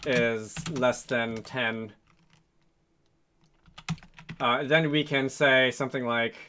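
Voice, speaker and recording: A man hosting a casual programming tutorial, thinking aloud in a halting, hesitant way as he works through code on screen. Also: mid-range voice, pushed and bright, slight background noise. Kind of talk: casual screen-recorded coding walkthrough.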